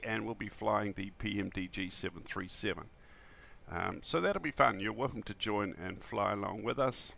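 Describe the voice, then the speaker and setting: A man with a croaky, just-woken voice, chatting casually and enthusiastically as a friendly flight simulator streamer who rambles a little. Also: lowish voice, bright, forceful tone. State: early-morning croaky voice, still waking up. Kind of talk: casual livestream chat.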